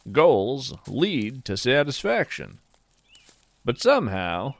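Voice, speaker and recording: A man reading a scripted, reflective podcast narration in a measured, deliberate manner with pauses and emphatic phrasing. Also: mid-range voice, no room sound. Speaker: podcast host and writer.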